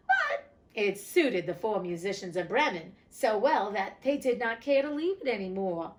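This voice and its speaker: A woman reads an old fairy tale aloud with a lively, swinging pitch. She keeps stopping for chatty, irreverent asides, tossing in casual swearing along the way. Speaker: host reading classic stories. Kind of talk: storybook reading with casual asides.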